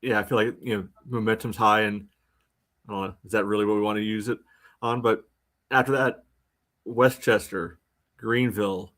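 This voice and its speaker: Low-pitched, understated American man. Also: steady tone, normal speed, long pauses, a bit bright. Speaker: sports podcast co-host. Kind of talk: casual sports chat.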